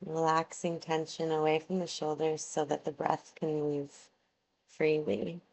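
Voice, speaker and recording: Woman, very low pitch, unhurried pace, soothing yoga nidra instructor, gentle measured phrasing, long pauses. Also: level tone, creaky, poor audio. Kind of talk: guided relaxation meditation in a yoga class.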